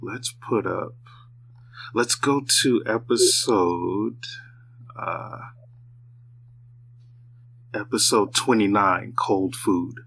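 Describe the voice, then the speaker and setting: Guest horror storyteller, deep and unhurried, soft-spoken. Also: male voice, gravelly, a little hiss or hum. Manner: soft-spoken, hesitant with many ums. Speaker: horror storyteller and show creator. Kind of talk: casual guest chat on a live stream.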